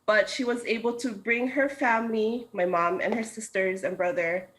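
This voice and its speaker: A woman's voice: mid-range voice, steady tone, moderate pace, fairly bright tone, poor audio. A woman in her thirties from Hawaii, a food truck co-owner, explaining her menu at length in a remote news interview over a video call. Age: 30s.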